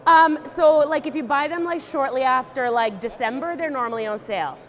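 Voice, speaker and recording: A young woman with a fairly high voice walks through tying a fly in a deadpan, playful way. She fills her talk with ums and likes. She jokes while she works. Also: brisk. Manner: deadpan, playful, many fillers. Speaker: guest fly tier. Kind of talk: joking fly-tying demo.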